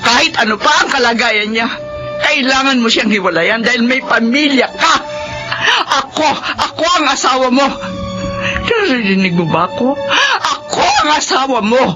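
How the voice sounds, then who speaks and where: A woman's voice: on the high side, expressive, normal speed, natural voice. A woman playing a middle-aged wife and mother who confronts her unfaithful husband in a radio drama. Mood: anguished. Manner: pleading, tearful confrontation. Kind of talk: Filipino radio drama acting. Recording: dull lo-fi radio audio with music.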